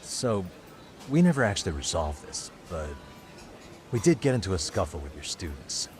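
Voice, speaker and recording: A cool private detective with a lowish voice. He is direct and casual, calmly probing as in a game cutscene, with faint background noise. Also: moderate pace. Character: cool, level-headed male private detective. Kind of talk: video game cutscene acting.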